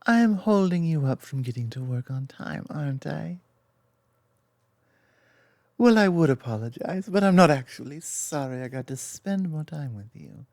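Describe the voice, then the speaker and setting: A woman playing an affectionate, teasing lamia girlfriend in an intimate ASMR roleplay, speaking softly and confidently with playful asides. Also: low voice, animated, moderate pace, no echo, clean studio sound. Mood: affectionate, lighthearted.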